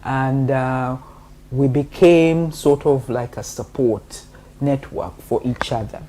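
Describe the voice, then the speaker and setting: Woman guest, really low voice, mellow tone, talks slow and careful w lots of um and you know. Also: a little hiss or hum. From Uganda. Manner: measured, explanatory, many fillers. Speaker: guest, co-author. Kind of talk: interview answers.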